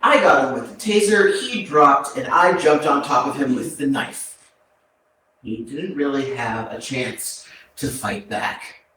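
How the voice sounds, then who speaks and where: A man in a staged play reading, acting a remorseful prisoner who confesses to killing his family in halting, subdued, terse phrases. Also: medium pitch, pushed and bright. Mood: grim, haunted. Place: arts center stage, indoors, recorded from afar. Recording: very echoey hall, distant mic, background noise.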